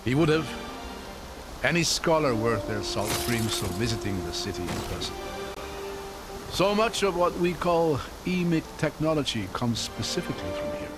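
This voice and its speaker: An actor playing a curious, learned scholar in a fantasy video game, articulate, formal and thoughtful. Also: male voice, medium pitch, expressive, normal speed, loud music behind the voice, natural voice. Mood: scholarly, somber. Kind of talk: dramatic video game acting.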